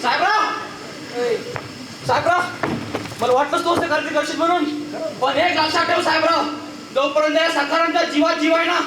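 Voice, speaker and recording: A man's voice: very high voice, fairly even intonation, a little fast, pauses often. An actor playing an earnest, loyal working-class man in a stage play, repetitive, with a rural Maharashtrian accent. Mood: defiant. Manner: pleading, repetitive, rustic. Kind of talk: theatrical Marathi stage acting. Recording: noisy, echoey stage recording.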